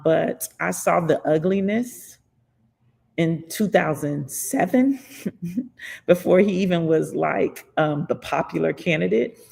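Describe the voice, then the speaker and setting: A livestream host from Florida talks through viewer comments in a casual political chat. The voice sits at a middle pitch with a gravelly, creaky edge. The speaker laughs often and slows right down to press key points. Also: darkish tone.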